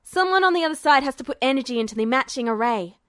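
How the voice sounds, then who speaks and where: A woman's voice: high-pitched, lively intonation, quick pace, a bit bright, clean tone, no echo. Young alchemist boy for fan fiction audiobook, woman performing: urgent, impatient, insistent, intense, dry close recording.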